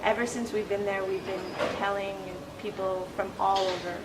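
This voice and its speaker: A young woman answers interview questions in a thoughtful, unhurried way, often pausing to gather her words. Her tone stays flat and level throughout. She sounds set back from the microphone, with a clear wash of background noise. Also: medium pitch, recorded from a distance. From born in Canada, raised in the United States. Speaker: interview guest, Quran instructor. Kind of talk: conversational interview.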